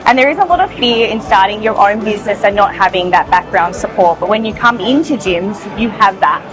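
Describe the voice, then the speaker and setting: Upbeat woman, training manager, bubbly and loud, music bed. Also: mid-range voice, a little fast, low-quality recording. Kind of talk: interview answers. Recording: music bed under the talk.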